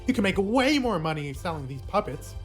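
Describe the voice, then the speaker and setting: A man's voice: high-pitched, sing-song, brisk. A man reading a scripted but casually worded video essay narration. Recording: faint music under the voice. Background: faint background music.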